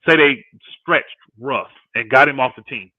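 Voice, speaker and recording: A man's voice: medium pitch, normal speed, pushed and bright, no room sound. A man of fifty in casual, emphatic sports talk on a livestream, often repeating phrases to drive a point home. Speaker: guest co-host and sports commentator on a live panel. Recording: thin phone-like audio.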